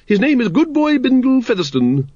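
A man's voice: very high voice, sing-song, normal speed, darkish tone, no echo, highs cut off, pitched above the performer's own voice, full character voice. A man voicing a hearty, gushing middle-aged aristocratic lady with plummy upper-class manners for an audiobook. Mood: gracious, breezy.

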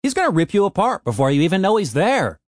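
This is a cynical, foul-mouthed boss from a storybook, acted out by a man narrator. He is blunt and sarcastic, always exasperated. His pitch swings widely, in a very dry recording. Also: very animated, fast talker, dry recording. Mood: scornful.

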